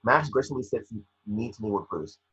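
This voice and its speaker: A man narrates stage directions in a very husky, fairly low voice, tripping on the odd word. The sound is dull and lo-fi, like an online call. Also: animated, brisk, a bit bright. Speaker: scene description narrator. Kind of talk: reading screenplay stage directions aloud.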